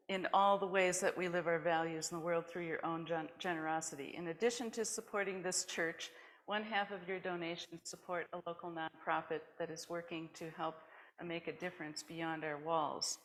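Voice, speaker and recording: A woman reads scripted stories and announcements to a congregation as the leader of a worship service. Her voice sits a little lower than most women's. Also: a bit bright, low-quality recording.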